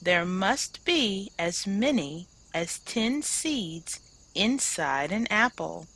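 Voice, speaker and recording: A woman's voice: medium pitch, animated, drawn-out words, a bit bright, heavily acted. A woman reading aloud a kindly male farmer in a children's storybook, explaining things patiently and encouragingly.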